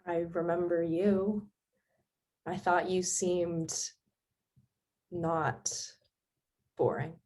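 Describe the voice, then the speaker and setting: A woman's voice: lowish voice, flat delivery, very slow, long pauses, a little mellow. A young woman playing a guarded, wounded daughter in a naturalistic stage drama, with a terse, blunt way of talking. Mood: quiet, shy. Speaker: student actor.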